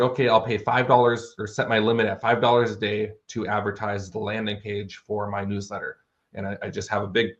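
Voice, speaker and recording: A man's voice: on the low side, dull audio. A man chatting casually as an interview guest, explaining things in an informal, conversational way. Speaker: interview guest, fantasy author.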